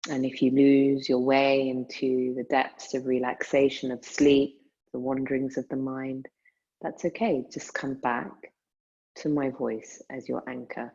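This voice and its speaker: Woman doing a yoga nidra style guided meditation, really slow n soothing, gravelly creaky voice, bit muffled lofi recording. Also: rumbling low, little pitch movement, a little mellow. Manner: soothing, unhurried, with long pauses.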